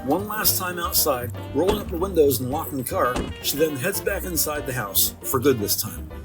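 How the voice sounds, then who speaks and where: A man reading scripted audio drama narration in a measured, detailed storytelling manner. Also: fairly high pitch, animated. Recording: music behind the voice.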